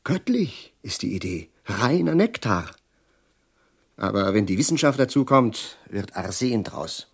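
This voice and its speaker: A middle-aged, sardonic professor foretelling catastrophe in a radio play. His voice is fairly low and husky, and he declaims theatrically, lingering on words and sinking into hushed menace. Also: very animated, unhurried, exaggerated voice. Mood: grim, ironic. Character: bitter, doom-prophesying learned man, sardonic Russian professor. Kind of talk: theatrical German radio drama acting.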